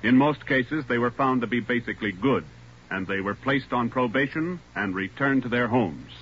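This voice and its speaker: A man's voice: medium pitch, lively intonation, normal speed. An American man announcing on radio in a formal, scripted 1940s style. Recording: muffled 1940s radio broadcast.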